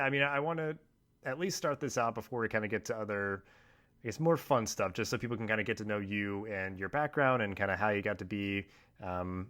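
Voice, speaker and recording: A man hosting a podcast, talking in a relaxed, casual way with lots of ums and uhs. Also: on the low side, no echo. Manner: relaxed, filler-heavy talk with many ums. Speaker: podcast host. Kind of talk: casual podcast intro and interview.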